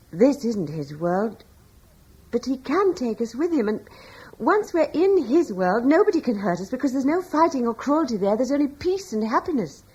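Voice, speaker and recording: An actress playing a gentle, devout Roman-era wife who speaks softly and earnestly in an old radio play. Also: female voice, on the high side, slightly rough. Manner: soft, earnest, quietly faithful. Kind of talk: 1950s-60s radio drama acting. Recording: dull old radio broadcast.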